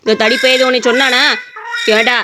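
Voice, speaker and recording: A woman's voice: sits high, level tone, moderate pace, heavy background noise, lightly acted. A woman playing an exasperated village son in a comic skit, scolding and sarcastic. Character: gruff, exasperated son defending his mother.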